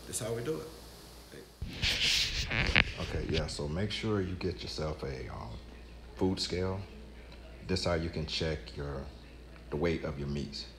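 Very deep-voiced man in his fifties. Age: 50s. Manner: relaxed, conversational, talks straight to the camera. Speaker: vlogger. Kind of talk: casual how-to talk. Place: home kitchen, indoors. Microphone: camera mic from a few feet away. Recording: echoey kitchen with background noise.

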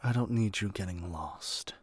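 A man's voice: low-pitched, measured pace, husky, dry recording, clean studio sound. A man playing a haughty, impatient god in an audio roleplay, with an imperious, theatrical way of talking. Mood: calm, soft. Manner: imperious, theatrical, addresses listener as mortal. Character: haughty, impatient male god of magic rooming with a human.

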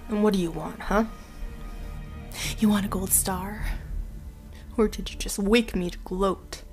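Guarded, indignant woman acted by a woman, mid-pitched, curt and challenging, fantasy drama dub. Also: fairly even intonation, a little slow, a little mellow, soft background music, close to an everyday voice. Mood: angry, defiant. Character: guarded, indignant woman confronting her tormentor.

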